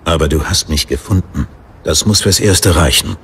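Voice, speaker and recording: A man playing a devoted human warrior of the Light, earnest and measured, in a fantasy audiobook. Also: rumbling low, a bit bright, slightly rough, heavily acted. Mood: tender, grave. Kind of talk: dramatized fantasy audiobook acting.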